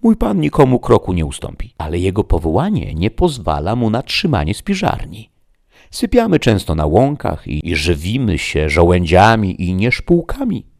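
Storybook squire, played by a man: hungry, blunt commoner, loud and emphatic, gravelly, very lively intonation. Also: sits low, normal speed, voice pushed up, exaggerated voice. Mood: proud. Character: blunt, hungry peasant squire, hearty and impatient.

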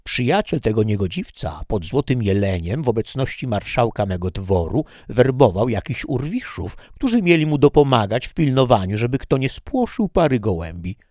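A man's voice: low voice, unhurried, hardly any pauses, warm tone, no room sound, studio quality. A man voicing a proud, jealous nobleman who speaks haughtily and insistently. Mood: indignant.

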